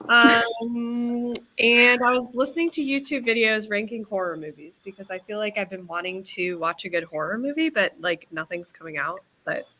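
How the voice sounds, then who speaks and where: A chatty young woman in a relaxed group call, her voice bright and clear. She rambles easily from topic to topic, dropping in plenty of fillers and little laughs. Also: mid-range voice, moderate pace, a little hiss or hum, low-quality recording. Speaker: book club co-host. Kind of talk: casual group chat on a video call.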